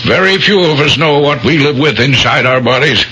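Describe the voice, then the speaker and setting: An actor playing a stern, cautious hospital chief of staff in a radio drama, American, emphatic and authoritative. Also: male voice, mid-range voice, expressive, moderate pace, pushed and bright, slightly rough. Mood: stern, skeptical. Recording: muffled, faintly noisy old radio broadcast.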